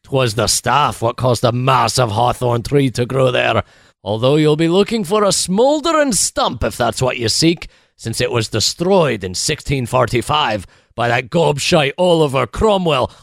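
Radio-play Scottish monk: gruff, boastful, crafty, highly animated delivery, dry studio sound. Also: male voice, unhurried, dry recording. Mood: animated storytelling. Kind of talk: 1940s-style radio play acting.

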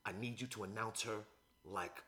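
A man imitating his stern male nightclub owner, who speaks with a Jamaican accent in a low, hushed, urgent voice. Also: steady tone, normal speed.

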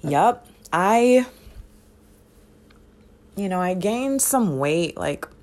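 A woman in her thirties hosting a casual solo podcast, relaxed and chatty with many fillers and soft laughs, her voice sounding sleepy. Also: medium pitch, very slow, a little hiss or hum. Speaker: podcast host.

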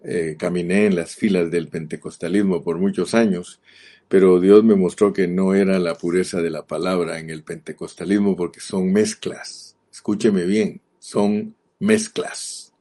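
A man's voice: sits low, fairly even intonation, measured pace, warm tone. A middle-aged man from Guatemala with a Guatemalan accent, preaching a sermon earnestly and repeating key words for emphasis. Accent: Guatemalan Spanish.

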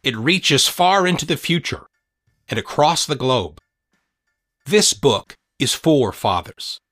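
A man narrating his own book as an author and podcast host, in an earnest, measured, motivational way. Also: mid-range voice, bright, forceful tone, no echo, studio quality. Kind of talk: scripted audiobook narration.

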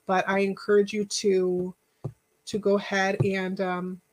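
A woman hosting a casual live chat, rambling and encouraging, thanking viewers by name, with a stuffy nose and a voice that is going hoarse. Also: medium pitch, monotone, a little slow, rough recording. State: losing her voice. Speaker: podcast host.

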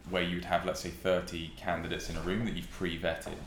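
Deep young southern English man, roomy sound. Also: little pitch movement, fairly quick. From West Sussex, England. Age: young adult. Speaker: podcast guest. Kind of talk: casual chat, telling own experience. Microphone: podcast microphone. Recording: roomy echo with faint noise.

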